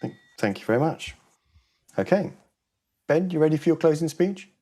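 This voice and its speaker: A man's voice: on the high side, expressive, normal speed, dark tone, slight background noise. A man moderating a local election hustings, friendly and loose, with lots of "um"s as he introduces the closing speeches. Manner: friendly, many fillers and restarts. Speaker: debate moderator. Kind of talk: hosting a political hustings.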